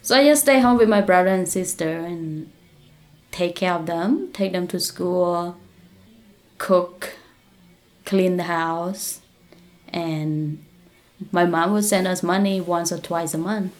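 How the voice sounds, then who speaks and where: A young woman from Cambodia, answering interview questions in a halting way and searching for words. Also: lowish voice. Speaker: guest, business founder. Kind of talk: casual interview talk.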